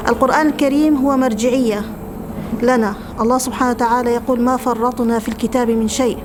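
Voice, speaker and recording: A woman founder speaking earnestly, with halting restarts, in a documentary interview. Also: on the high side, fairly even intonation, noisy background.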